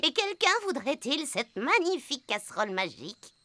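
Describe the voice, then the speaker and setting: A woman's voice: sits high, moderate pace, dry recording. A woman voicing a theatrical, bossy female witch and ice queen in a French cartoon dub. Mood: brisk, polite. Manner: theatrical, expressive. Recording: prominent cartoon music behind voice.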